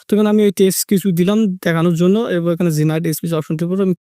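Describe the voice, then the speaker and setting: A man with a high voice walks viewers through a tutorial step by step. His delivery is fast and repetitive in its instructions, and the recording is dry and close. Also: steady tone, mellow, clear, resonant tone, dry recording. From Bangladesh. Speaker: tech tutorial host. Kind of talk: step-by-step screen tutorial narration.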